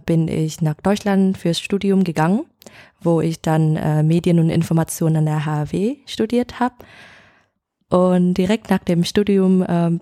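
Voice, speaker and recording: A woman's voice: lowish voice, mellow, no echo, studio quality. A woman in her twenties from Vietnam, speaking German, introducing herself hesitantly with many fillers. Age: 20s. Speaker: guest, editor.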